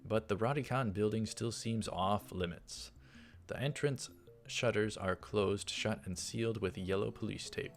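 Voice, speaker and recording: A man's voice: on the low side, unhurried. A man hosting a let's play, reading visual novel text aloud and adding casual, offhand comments. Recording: music behind the voice. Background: game music.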